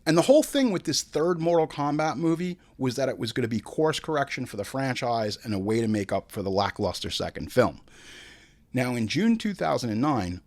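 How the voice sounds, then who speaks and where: A man hosting a movie podcast, delivering crude, profane comedic film commentary and theatrically acting out imagined dialogue. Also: medium pitch. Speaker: host of a movie review podcast. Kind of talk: comedic film commentary mixing read facts with ranting asides.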